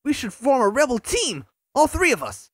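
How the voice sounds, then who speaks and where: An eager young male hedgehog hero with a husky, bouncy voice that leaps up and down in pitch, played by a woman. The sound is dry and close, with no room around it. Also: wildly swooping pitch, moderate pace, no echo, heavily acted. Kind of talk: fan audio drama acting.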